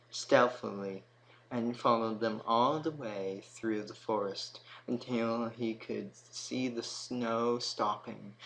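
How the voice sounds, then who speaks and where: A man narrates a storybook tale in a lowish voice at a very unhurried pace. The recording carries audible background noise. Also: a bit bright, rough recording.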